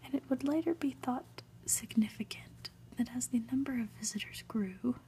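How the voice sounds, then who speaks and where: A woman narrating a storybook in a breathy ASMR whisper, reading gently and deliberately. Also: high-pitched. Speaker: ASMR reader. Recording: faint background noise.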